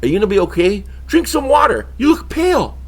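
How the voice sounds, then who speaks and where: Man acting a high-voiced, sarcastic housemate. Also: huge pitch swings, a little fast, exaggerated voice. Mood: dry concern. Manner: sarcastic, griping. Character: sarcastic put-upon young male housemate of monster hunters. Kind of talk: comedy-horror audio drama.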